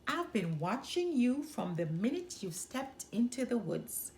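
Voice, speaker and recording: A woman's voice: on the high side, sing-song, measured pace, darkish tone, a little hiss or hum, voice pushed up. A woman voicing a sly, hungry male wolf in a children's storybook, coaxing with false politeness. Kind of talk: children's storybook character reading.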